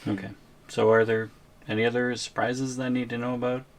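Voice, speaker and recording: A man's voice: mid-range voice, level tone, a little fast, natural voice. A man playing a wary, resigned captive boyfriend in an ASMR audio roleplay, hesitant and trying to reason his way along.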